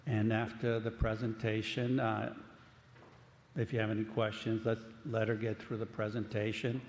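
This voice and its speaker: Man, Cuban accent, meeting chair; monotone, halting delivery; reverberant council chamber. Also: lowish voice. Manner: plain, unhurried, halting with fillers. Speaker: planning commission chair. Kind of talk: running a public meeting. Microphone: desk microphone up close. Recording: long hall reverb, faint room noise.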